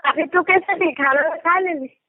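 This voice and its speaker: A woman's voice: extremely high pitch, measured pace, no echo. A woman with a Bihari accent chatting casually on a phone call, giving brief, agreeable replies. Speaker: prank call recipient.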